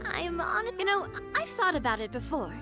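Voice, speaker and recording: High-voiced earnest young boss lady. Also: very animated, moderate pace, full character voice. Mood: earnest, reflective. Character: earnest, sentimental young woman boss, easily flustered. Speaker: game character, young leader. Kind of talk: video game voice acting. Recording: studio voice over game music.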